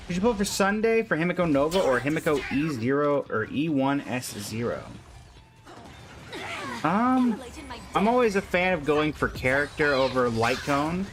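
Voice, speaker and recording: A man chatting casually as he livestreams a game, easygoing as he answers and advises viewers. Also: fairly high pitch. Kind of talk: casual livestream chat and commentary. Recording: game music behind the voice. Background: game music.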